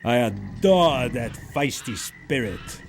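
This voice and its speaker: Slow, suave Nazi villain. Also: male voice, medium pitch, expressive, vocal fry, a little hiss or hum. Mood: mocking charm. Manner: courtly, mocking, theatrical. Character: suave gloating Nazi officer villain, adult man. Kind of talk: pulp adventure audio drama acting. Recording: music behind the voice.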